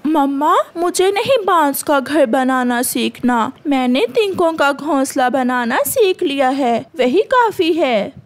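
A woman's voice: high voice, lively intonation, slow, hardly any pauses. A woman voicing a childish, stubborn young boy bird with a whiny manner in a cartoon.

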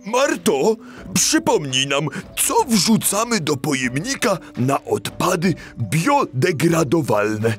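A man's voice: a little high, very animated, takes it slowly, soft background music. A man playing a kindly animal teacher in a children's audio play, encouraging and didactic, with small stammers. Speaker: teacher leading a children's lesson.

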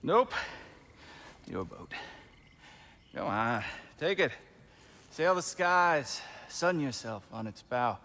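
An actor playing a wounded, easygoing Vanir god with a teasing, laid-back manner in a video game cutscene. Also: male voice, medium pitch, big pitch swings, takes it slowly, long pauses, bright, forceful tone, slight background noise, full character voice. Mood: wry teasing.

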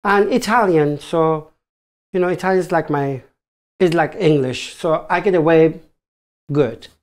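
A man's voice: on the high side, measured pace. A middle-aged man from Germany, with a German accent, speaking earnest, broken English as a hairstylist guest in a small studio. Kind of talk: casual chat. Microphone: standing, off the table mic.

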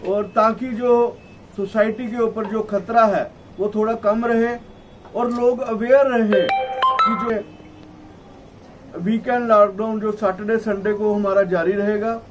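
A middle-aged man gives a formal, halting press conference statement as a federation spokesman, his voice slightly muffled by a mask. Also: high-pitched. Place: small indoor office at a press conference. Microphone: cluster of table microphones, camera at some distance. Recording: distant mic, noisy room ambience.

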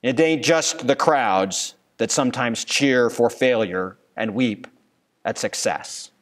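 Slow-talking pastor preaching from a pulpit. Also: male voice, medium pitch. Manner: earnest preaching with rhetorical questions. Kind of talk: sermon. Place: church sanctuary, at a pulpit. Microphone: pulpit microphone.

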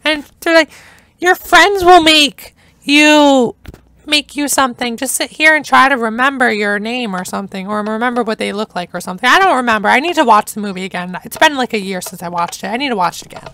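A young woman chatting casually through a game playthrough, hoarse from talking, rambling and enthusiastic. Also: a little high, fairly quick, sharp tone, dry recording. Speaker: gameplay narrator. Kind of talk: casual let's-play commentary.

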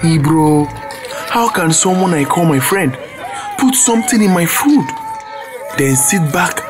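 Hot-tempered, betrayed young Nigerian. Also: male voice, on the high side, animated, unhurried, over music, a crowd in the background. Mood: hurt. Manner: confrontational, exclamatory. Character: betrayed, hot-tempered young male friend demanding answers. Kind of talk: radio drama acting.

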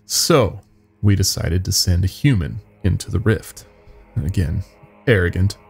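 Guy playing a nervous young worker spilling secrets, gravelly, music behind, horror podcast story. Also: low voice, expressive, measured pace, darkish tone, exaggerated voice. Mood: regretful. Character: young male company worker, nervous, regretful, confessing secrets.

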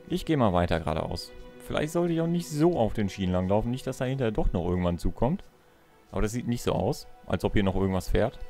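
A man's voice: medium pitch, mellow. A man giving casual let's play commentary, musing aloud in a playful way. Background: game music.

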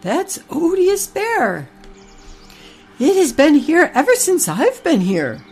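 A woman's voice: very high-pitched, wildly swooping pitch, soft background music, voice pushed up, exaggerated voice. A woman voicing a kindly old cow who tells stories to the barn animals. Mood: reminiscing. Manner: gentle, unhurried storyteller. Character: old motherly cow, female, fond of tales.

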